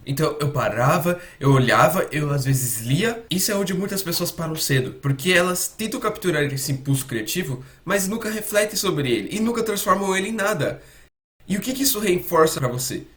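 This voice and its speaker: Lively, high-pitched Brazilian man explaining. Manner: conversational and didactic, with rhetorical questions. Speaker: coach presenter, dubbed in Portuguese. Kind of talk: explanatory talk dubbed as a fan voice-over.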